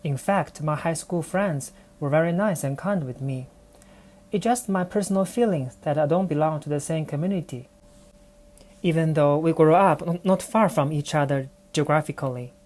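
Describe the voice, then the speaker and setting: A woman's voice: sits low, normal speed, darkish tone. A woman from China with a Chinese accent, speaking English as she tells a personal story on her own podcast in a reflective, careful way. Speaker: podcast host.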